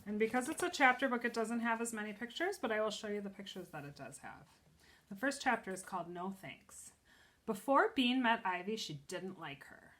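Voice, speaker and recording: Woman, teacher, expressive storybook read-aloud, middle pitch, explanatory asides to young listeners. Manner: expressive, pauses to explain pictures and ask questions.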